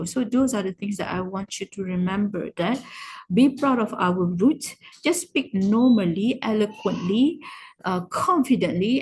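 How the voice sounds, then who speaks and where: A Malaysian-accented woman teaches an informal class in a slightly husky voice at a middling pitch. She mixes English and Malay freely, encourages her learners and drives points home by repeating key words. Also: poor audio. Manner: encouraging, emphatic with repetition. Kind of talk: informal online class, explaining.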